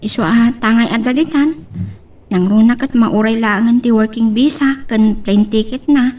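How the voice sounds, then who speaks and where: Woman acting high-pitched pleading daughter, off-mic. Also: normal speed, a little mellow, lightly acted. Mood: earnest, persuading. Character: earnest, pleading young daughter of the family. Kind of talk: Ilocano radio-style audio drama. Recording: distant from the microphone.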